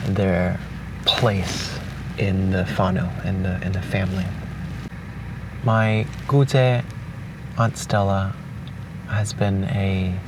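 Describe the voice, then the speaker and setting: A young man from Hong Kong with Cantonese as his native language, speaking slowly and thoughtfully with pauses and restarts, recorded outdoors on a beach at dawn. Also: on the low side. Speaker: vlogger. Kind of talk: reflective spoken letter. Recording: distant outdoor mic with noise.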